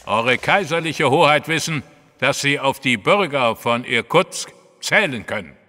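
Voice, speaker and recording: An actor playing a formal, deferential military general in a German audio drama. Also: male voice, mid-range voice, expressive, a little slow, sharp tone. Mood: dutiful. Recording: long hall reverb.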